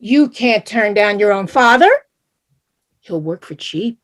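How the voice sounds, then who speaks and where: A woman's voice: mid-range voice, lively intonation, a little slow, close to an everyday voice. A woman playing a doting, dramatic Salvadoran mother in her thirties in a staged reading, with an exclamatory, chiding manner. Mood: teasing. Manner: exclamatory, chiding, mixes Spanish into English. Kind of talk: live staged play reading.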